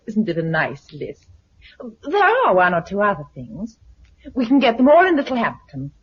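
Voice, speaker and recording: An actress playing a caring, spirited British wife among survivors, in 1950s radio drama style. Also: female voice, mid-range voice, wildly swooping pitch, normal speed, husky. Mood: playful, coaxing. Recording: muffled 1950s radio recording.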